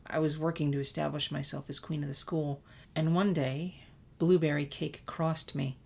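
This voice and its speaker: A woman's voice: deep voice, fairly even intonation, normal speed, gravelly, a little mellow, slightly rough, full character voice. A woman voicing a regretful teenage girl who confesses past scheming in a hesitant, reflective way, in a story reading. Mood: reflective, rueful.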